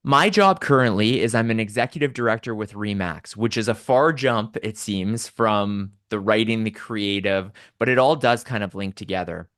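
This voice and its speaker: A man's voice: lowish voice, moderate pace, hardly any pauses, a bit bright, no echo, studio quality. A man from Southern Alberta with a Canadian accent, telling personal stories conversationally and articulately as a podcast guest.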